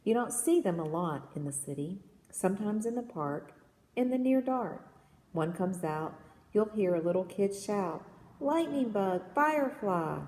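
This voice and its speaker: A woman reading children's poems aloud in an expressive storytelling manner, recorded in an echoey room. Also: medium pitch, normal speed. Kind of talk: read-aloud of children's verse novel. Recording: echoey reverberant room with background noise.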